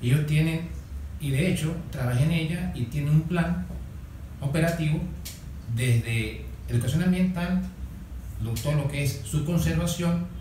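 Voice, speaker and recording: Mellow Venezuelan man, very echoey and off-mic. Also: on the high side, measured pace, far from the mic. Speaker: interview guest with conservation experience. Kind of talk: informal explanatory talk. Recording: very echoey, off-mic, faint noise.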